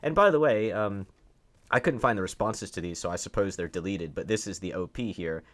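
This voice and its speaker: A man with a slightly low voice delivers opinionated commentary. He argues emphatically, repeating key phrases for weight and throwing in rhetorical questions as he reacts to what he reads. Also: fairly quick, fairly bright tone. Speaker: online commentator. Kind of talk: opinionated commentary, reading and reacting to online posts.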